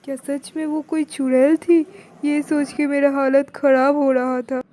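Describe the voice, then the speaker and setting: A woman's voice: sits high, flat delivery, unhurried, warm tone. A woman giving scripted first-person narration of a cartoon story in the role of a young man.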